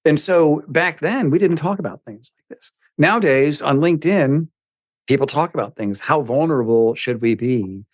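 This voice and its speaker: A man's voice: on the high side, normal speed, no echo. A man in his fifties hosting an interview podcast, friendly and self-deprecating, with short affirming replies as he asks questions. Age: 50s. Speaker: podcast host. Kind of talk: casual interview chat.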